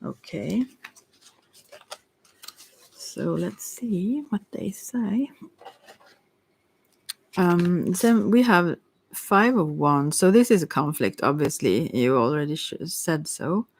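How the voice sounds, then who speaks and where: A tarot reader talking casually and hesitantly, thinking aloud while answering questions, in a soft voice that at times drops to a whisper. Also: mid-range voice, lively intonation, slow, gravelly, pauses often, a little hiss or hum. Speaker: tarot reader hosting a live reading.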